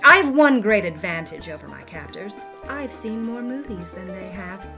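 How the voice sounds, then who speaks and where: A woman's voice: medium pitch, expressive, moderate pace, music in the background, lightly acted. An actress playing a defiant, worldly woman on the run, telling her story in a vivid, hard-boiled first-person style. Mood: tense, wry. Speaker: actress narrating as a character. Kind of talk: dramatic first-person scripted narration.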